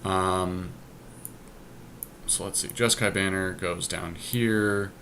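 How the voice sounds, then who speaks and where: A man's voice: low-pitched, fairly even intonation, slow pace, fairly bright tone, a little hiss or hum. A man talking casually through card game deck choices, deliberating and reasoning aloud as he goes. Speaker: podcast host drafting a card game deck.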